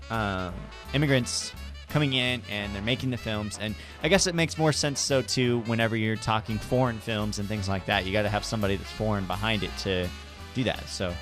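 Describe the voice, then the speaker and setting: A man's voice: on the low side, fairly even intonation, brisk. Casual male radio host over faint music.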